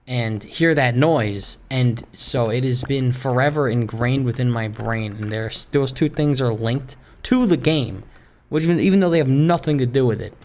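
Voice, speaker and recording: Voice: mid-range voice, normal speed, a little mellow. A gaming streamer chatting casually and hesitantly in a wry, rambling way over gameplay, recorded in a room at home. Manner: rambling, hesitant, self-interrupting. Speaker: gaming video creator and streamer. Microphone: streaming microphone. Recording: home microphone with clear background noise.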